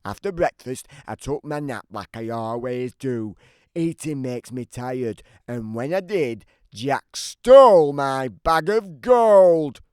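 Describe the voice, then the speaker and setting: A man's voice: medium pitch, expressive, slow, darkish tone, smooth, no echo, studio quality. A man voicing a big, grumpy, always-hungry giant who tells his side of a children's storybook in a theatrical, emphatic way. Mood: grumbling storytelling. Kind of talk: scripted children's storybook narration.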